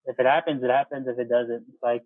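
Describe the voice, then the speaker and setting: An American man in his thirties talking casually as an interview guest, explaining things in a halting way and often rephrasing. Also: medium pitch, flat delivery, no room sound, phone-quality sound. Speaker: interview guest, air traffic controller.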